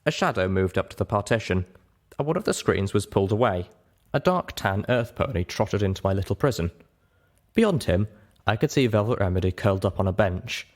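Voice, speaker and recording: A man narrating a story in the first person with an expressive, theatrical, dramatic delivery. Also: lowish voice, a little fast. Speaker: audiobook narrator.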